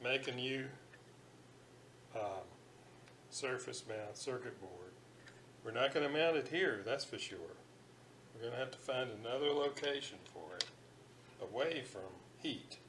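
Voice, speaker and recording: A middle-aged man giving a casual workbench demonstration, thinking aloud in an unhurried way with fillers. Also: mid-range voice, noisy background. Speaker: radio restoration hobbyist. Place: home electronics workbench.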